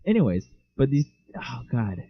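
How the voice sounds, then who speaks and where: A man's voice: medium pitch, big pitch swings, normal speed, vocal fry, breathy edge, no room sound. A young man co-hosting a casual podcast, telling rambling, jokey stories and mocking other people's voices. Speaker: podcast co-host. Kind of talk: casual chat. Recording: dull lo-fi mic.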